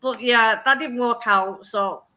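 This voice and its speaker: Bright-voiced Northern English woman. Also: fairly high pitch, a little slow. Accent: Northern English, Oldham. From England. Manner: chatty, self-deprecating, laughs easily. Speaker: cooking channel host. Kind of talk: casual livestream chat.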